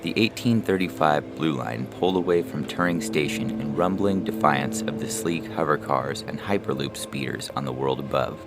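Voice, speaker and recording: A man's voice: rumbling low, moderate pace, hardly any pauses. A man narrating a scripted science fiction short story. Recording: loud music under the voice. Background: loud music.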